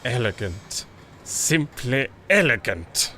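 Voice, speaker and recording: An actor playing a suave, flattering flying ace, grandiose and formal, in a pulp audio drama. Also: male voice, mid-range voice, takes it slowly, a little hiss or hum, full character voice. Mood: admiring, scheming. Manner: smooth-talking, grandiose, formal.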